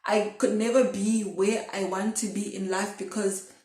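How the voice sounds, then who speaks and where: A woman's voice: medium pitch, level tone, a little slow, darkish tone. Young woman vlogging in echoey room. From Zimbabwe. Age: twenties. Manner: rambling, thinking aloud, self-questioning. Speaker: video blogger. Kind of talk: casual confessional chat to camera.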